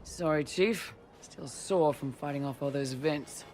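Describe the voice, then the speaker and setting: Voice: mid-range voice, unhurried, close to an everyday voice. A performer playing a young, loyal male mercenary lieutenant, easygoing and respectful, in a video game cutscene. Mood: upbeat.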